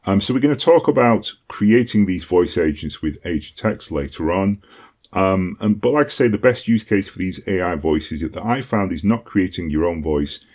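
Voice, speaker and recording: A British man with a very low, deep voice walks through a lesson in a relaxed, conversational way. He fills his pauses with ums and checks in with an "okay?" now and then. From Britain. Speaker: online course instructor. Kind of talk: casual tutorial explanation.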